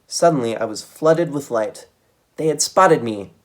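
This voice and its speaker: A man reading a children's book aloud as a storytime reader, with dramatic, expressive delivery. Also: mid-range voice, measured pace.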